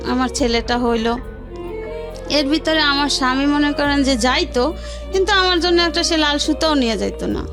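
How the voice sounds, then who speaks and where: Woman, Bangladeshi accent, high-pitched, colloquial personal storytelling, interview guest, clear music bed behind. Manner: colloquial, narrates with reported dialogue. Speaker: guest telling her life story.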